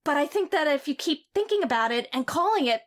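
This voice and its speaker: Lady doing a bossy sickly little boy, high voice, bouncing all over, very earnest. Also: lively intonation, fast. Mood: earnest speech. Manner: imperious, declaiming, earnest. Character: sickly, imperious young boy, defiant and excitable. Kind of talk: audio drama acting.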